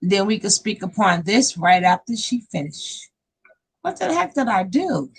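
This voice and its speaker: A woman hosting a casual live panel stream over a video call, animated and laughing often. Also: mid-range voice, a little slow, creaky, slightly rough. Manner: animated, laughing, talks up a storm.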